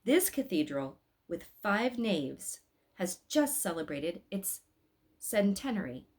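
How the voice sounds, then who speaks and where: A gentle, learned angel from a storybook, read by a woman. The delivery is soft and measured, chiding and instructing patiently. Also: medium pitch, sing-song. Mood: informative.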